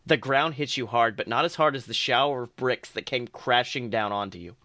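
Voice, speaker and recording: A man's voice: medium pitch, a little fast, bright, forceful tone, no echo, clean studio sound. A man co-hosting a podcast, reading second-person fan fiction aloud earnestly, with small stumbles and restarts.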